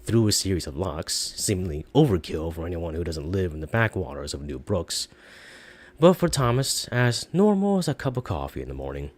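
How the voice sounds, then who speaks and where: A man with a low voice narrates a story aloud. His delivery is expressive, with pitch that moves widely from phrase to phrase. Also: moderate pace.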